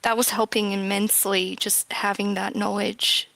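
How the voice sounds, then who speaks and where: A woman's voice: medium pitch, flat delivery, normal speed, gravelly, fairly bright tone, no room sound, rough recording. A woman speaking as a guest in a casual interview, a little hesitant, with phrases that trail off. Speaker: game developer, interview guest.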